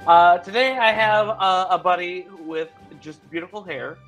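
Male host, joking and hesitant, higher voice, faint music behind. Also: a little slow, a bit bright, dull audio. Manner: self-deprecating, joking, hesitant with many "uh"s. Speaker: podcast host. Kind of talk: casual interview chat.

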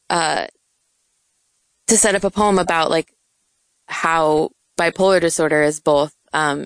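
Young woman, soft and halting, low-pitched, dry close sound. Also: no room sound, poor audio. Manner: soft-spoken, hesitant, many fillers. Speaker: poet. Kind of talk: casual interview chat.

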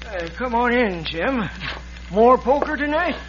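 Through a muffled, noisy radio recording comes a crooked old frontier judge. His very high voice blusters and hesitates, repeating itself in a fluster. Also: lively intonation, a little slow. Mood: flustered, blustering. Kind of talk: 1950s radio western acting.

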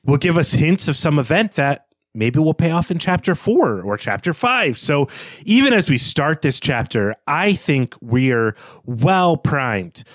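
A man's voice: on the high side, big pitch swings, measured pace, dry recording. A man co-hosting a literary podcast, analysing a text as he thinks aloud in a reflective way. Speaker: podcast host.

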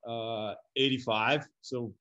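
A man's voice: medium pitch, fairly even intonation, slow, bright, forceful tone, highs cut off. A man in his thirties chats easily about his early days, his middle-range voice slightly scratchy. He sounds like a guest on a podcast. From Colorado, USA. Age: 30s. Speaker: guest, business co-owner. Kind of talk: casual chat.

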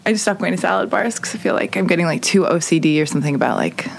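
A woman's voice: fairly low pitch, expressive, rapid-fire, vocal fry. A woman hosting a casual podcast interview, talking in a rambling, confiding and teasing way. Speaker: podcast host interviewing a guest. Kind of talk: casual, candid chat.

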